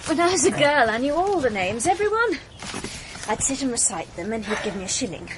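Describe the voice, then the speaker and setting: An actress playing a polite, wistful shipping heiress in a radio drama, crisp, resigned and reflective. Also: female voice, high voice, sing-song, brisk. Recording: noisy, with ambient sound.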